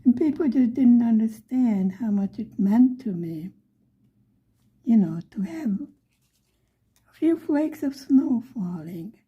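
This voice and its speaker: A middle-aged woman from Buffalo, New York, telling a story in a soft, often whispery voice. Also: on the high side, expressive, slow pace, long pauses, dull audio. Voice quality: frequently whispery, breathy delivery. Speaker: vlogger.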